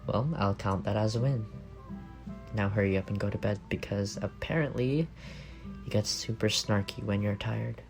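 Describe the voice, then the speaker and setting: Guy acting as affectionate teasing roommate, soft creaky male voice, asmr roleplay, bit of music behind. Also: on the low side, moderate pace. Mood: playful, teasing. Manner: playful teasing murmur with soft chuckles. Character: affectionate, teasing young roommate secretly in love.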